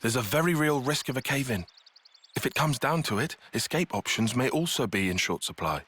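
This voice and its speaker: A man acting a cautious, brooding young soldier in a video game cutscene, soft-spoken, pensive and measured. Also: medium pitch, expressive, slightly rough. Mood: anxious, cautioning. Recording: music behind the voice.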